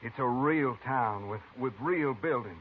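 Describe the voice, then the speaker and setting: An actor playing a slick, selfish small-time gambler in a 1950s radio drama, smooth-talking and evasive. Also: male voice, medium pitch, measured pace, close to an everyday voice. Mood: persuasive. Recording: tinny vintage radio broadcast with hiss.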